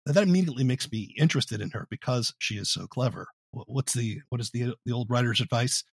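A man hosting an interview in a deliberate, analytical, conversational way. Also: medium pitch, creaky, a little hiss or hum. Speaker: podcast host, interviewer.

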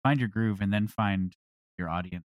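A man chats casually in an interview. His voice is on the low side and recorded without any room echo. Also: moderate pace, studio quality. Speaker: audio comedy creator, interviewee.

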